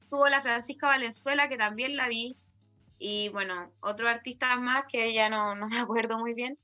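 A woman's voice: on the high side, fairly even intonation, moderate pace, pushed and bright, faint music behind. A woman with a Chilean accent, a guest singer chatting casually in slangy speech and tagging her sentences with a question. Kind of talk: casual conversation.

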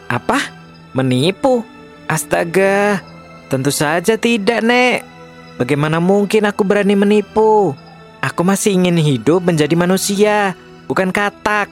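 A man's voice: sits high, expressive, a little slow, pauses often, faint music behind. A man playing a clever, polite young captive who tells stories earnestly in a children's storybook drama. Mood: shocked, pleading.

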